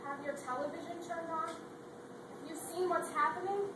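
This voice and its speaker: A woman's voice: extremely high pitch, fairly even intonation, moderate pace. A young woman playing an anxious friend who calls with bad news and then tries to reassure. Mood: urgent. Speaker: readers theatre performer. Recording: distant, echoey, very noisy hall.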